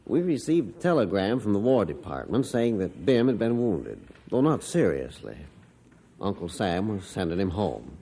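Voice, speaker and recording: An actor playing an earnest farmer who tells stories deliberately and expressively in an old-time radio drama. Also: male voice, on the low side, sing-song, mellow, breathy edge, full character voice. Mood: earnest, reflective. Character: earnest farmer recounting his dog's heroics. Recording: faintly noisy vintage radio recording.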